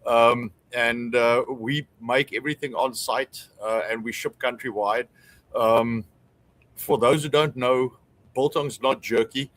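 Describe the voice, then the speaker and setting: A man's voice: mid-range voice, fairly even intonation, slow, fairly bright tone. Easygoing South African man chatting. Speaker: guest, small business owner. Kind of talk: casual chat.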